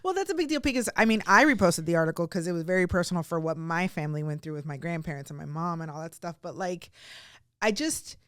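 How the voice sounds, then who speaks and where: Young woman, podcast host, emotional and chatty, lowish voice, dry sound. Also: lively intonation, fast talker, fairly bright tone, clear, resonant tone, no echo. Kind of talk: casual interview chat.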